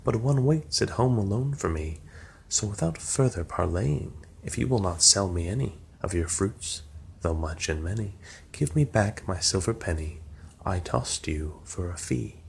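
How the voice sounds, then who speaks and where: A man's voice: fairly low pitch, lively intonation, unhurried. Man reading as a polite, firm young maiden. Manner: courteous, formal verse speech. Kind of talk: storybook poem reading. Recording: clear background noise.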